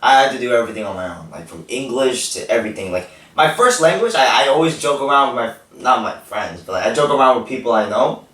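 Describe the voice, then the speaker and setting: A teenage guy, Korean his first language, chatting casually and animatedly on a podcast with plenty of "like" fillers. He sits back from the mic in a roomy, echoing space, and his voice sits at a middling pitch. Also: fast talker, hardly any pauses. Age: teens. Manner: animated storytelling, frequent "like" fillers. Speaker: podcast host. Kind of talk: casual chat. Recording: echoey room, distant mic.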